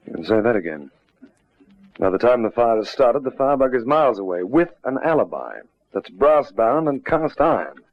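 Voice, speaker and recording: Confident police sergeant, measured and pressing, close dull old radio sound. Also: male voice, mid-range voice, big pitch swings, no room sound. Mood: explanatory. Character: steady, dryly confident male police detective sergeant. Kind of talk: old radio drama acting.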